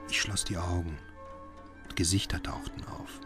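A male actor as an obsessive, middle-aged father narrates a horror radio play. His voice is low and inward-looking, with intense, brooding delivery. Faint music sits behind it. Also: flat delivery, normal speed, natural voice. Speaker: narrator and lead character. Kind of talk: radio horror drama, dialogue and first-person narration.

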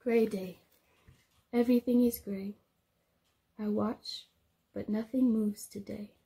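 A young woman reading a picture book aloud to small children, expressive and sing-song, explaining things simply as a teacher would. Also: medium pitch, slow pace, gravelly, husky. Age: young adult. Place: small indoor room.

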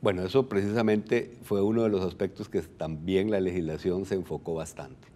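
A middle-aged man with a Costa Rican accent and a low, very mellow voice. He explains in a didactic way as an official interviewed in a TV studio, often checking that he is understood. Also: fairly even intonation, normal speed. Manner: didactic, poses and answers own questions. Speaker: electoral registry director, interview guest. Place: television studio. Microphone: lapel mic.

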